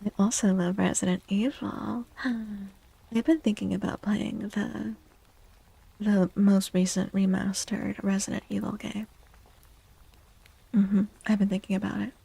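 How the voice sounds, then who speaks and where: A woman hosts an ASMR livestream in a very soft, breathy voice that often slips toward a whisper. Her delivery is slow and gentle, with a creaky edge and a mellow tone. She sounds close to the microphone as she chats. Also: medium pitch, rough recording. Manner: gentle, unhurried, greeting chatters. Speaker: livestream host, VTuber. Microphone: close microphone, dry.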